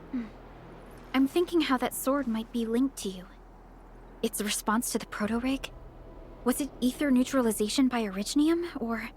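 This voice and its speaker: A woman's voice: a little high, a little mellow, slight background noise, close to an everyday voice. An actress voicing an earnest, thoughtful and dutiful woman protector in a video game, speaking seriously and formally.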